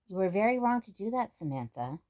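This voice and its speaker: A woman's voice: mid-range voice, sing-song, fairly quick, a little mellow, close to an everyday voice. A woman playing a stern but troubled older grandmother in a children's storybook.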